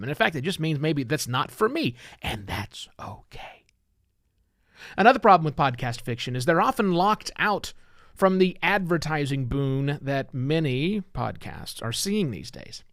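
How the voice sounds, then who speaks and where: Male podcast host. Animated, swooping delivery, dry close sound, emphatic opinion pieces with rhetorical questions. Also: fairly high pitch, dry recording. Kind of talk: solo opinion commentary, conversational.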